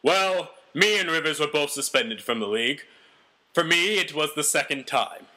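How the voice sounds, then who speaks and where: A man's voice: on the high side, animated, normal speed, pushed and bright, exaggerated voice. A man voicing a brash, self-important ex-convict quarterback telling his own story with melodramatic, boastful delivery. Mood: indignant, confiding.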